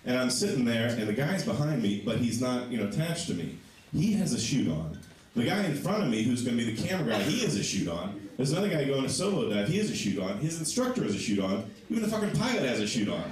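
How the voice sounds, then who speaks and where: A man with a low voice doing a stand-up comedy set in a small comedy club, telling stories with lively, animated delivery. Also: quick pace, a little hiss or hum. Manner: animated storytelling, voices for others. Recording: echoey club sound from a distant mic.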